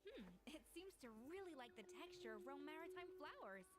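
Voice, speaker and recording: A woman's voice: high voice, very animated, a little fast, dry recording. A woman voicing a curious young female character in scripted video game dialogue.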